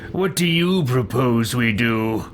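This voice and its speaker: Man putting on a haughty adventurer, swooping slow delivery. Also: medium pitch, lively intonation, music in the background. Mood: cool questioning. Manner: pompous, theatrical, clipped. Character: haughty, impatient male fantasy adventurer. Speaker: gameplay roleplayer. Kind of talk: in-character video game roleplay.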